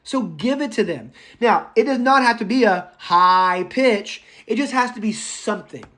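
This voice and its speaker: A raspy-voiced male public speaking instructor lecturing a live online class, speaking loudly without a microphone, chatty and prone to tangents. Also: high-pitched, animated, normal speed. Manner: speaks loudly, projecting as if shouting; enthusiastic, goes off on tangents and laughs. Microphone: no microphone, voice caught by the room recorder.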